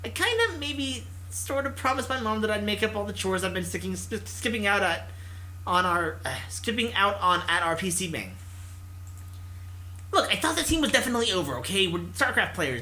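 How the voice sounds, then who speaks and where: A man's voice: high-pitched, very animated, a little fast, pushed and bright, heavily acted, poor audio. A man voicing a stubborn, excuse-making young male gamer in a visual novel, informal and whiny. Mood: sheepish, defensive. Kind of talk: visual novel character reading.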